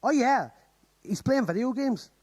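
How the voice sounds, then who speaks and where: A man's voice: very high-pitched, animated, measured pace, darkish tone, full character voice. An actor playing an exasperated, sarcastic young man in a radio comedy play. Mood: flustered. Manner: flustered, sarcastic.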